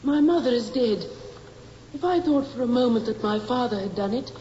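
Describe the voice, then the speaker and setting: A woman playing a quiet, composed and earnest young daughter testifying in a 1950s radio crime drama. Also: fairly high pitch, lively intonation, normal speed, close to an everyday voice. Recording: muffled, echoing old radio recording, hissy.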